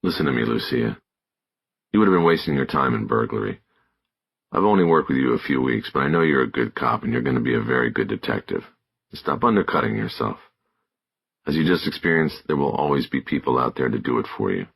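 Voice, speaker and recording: Guy doing a veteran cop in an audiobook, gravelly low voice, steady encouraging mentor type. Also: fairly quick, no room sound, full character voice. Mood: firm advising. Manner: plain-spoken, firm. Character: seasoned male police detective, steady and encouraging mentor. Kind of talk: audiobook character dialogue.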